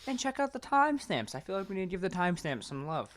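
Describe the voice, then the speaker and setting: Joking man with very animated, swinging pitch. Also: quick pace. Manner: crude, joking, sweary. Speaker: podcast co-host. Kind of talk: casual banter between friends.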